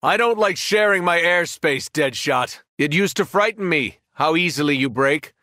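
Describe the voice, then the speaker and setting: A fallen alien superhero in a video game, menacing and arrogant. His fairly high, forceful voice swings widely as he delivers cold, mocking threats in a dry, close recording. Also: expressive, normal speed, dry recording. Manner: taunting, cold mockery, grandiose threats. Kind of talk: video game villain voice acting.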